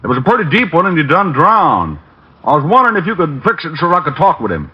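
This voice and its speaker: A boastful bumpkin hog rancher in an old radio play. The voice lilts all over, sits a little high and leans on a slow folksy drawl. The broadcast sound is dull and old. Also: male voice, very animated, slightly rough. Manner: drawling backwoods talk, put on as a disguise. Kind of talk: 1950s radio drama acting.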